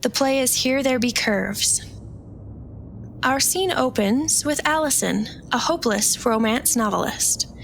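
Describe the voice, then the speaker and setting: A woman's voice: high voice, measured pace, creaky, fairly bright tone, a little hiss or hum. A woman reading scripted scene-setting narration as the narrator of a radio play.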